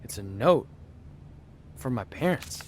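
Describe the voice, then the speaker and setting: A man's voice: mid-range voice, big pitch swings, takes it slowly, darkish tone, a little hiss or hum. A man acting a goofy, eager young wrestler in a video game cutscene, chatting with boyish earnestness. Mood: hopeful excitement. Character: eager goofy young male pro wrestler, wisecracking and naive.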